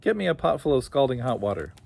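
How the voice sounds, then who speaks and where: A man voicing a feisty, shrewd, loyal wife in a story, exclamatory and sharp-witted. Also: mid-range voice, very animated, fast, gravelly. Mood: distressed, bossy.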